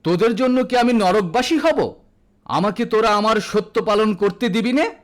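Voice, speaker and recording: A man's voice: high voice, moderate pace, voice pushed up, natural voice. A man acts out an old father in an audio story. He is proud and weighed down by debt. He speaks tenderly and with anguish, ready to flare into indignation. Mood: furious. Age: older. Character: elderly, anguished, proud indebted Bengali father.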